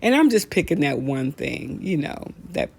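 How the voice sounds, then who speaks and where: A middle-aged American woman hosting a casual talk show, telling stories in a blunt, animated, colloquial way and repeating phrases for emphasis. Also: low-pitched, moderate pace, vocal fry, slightly rough. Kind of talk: casual comedic rant with storytelling.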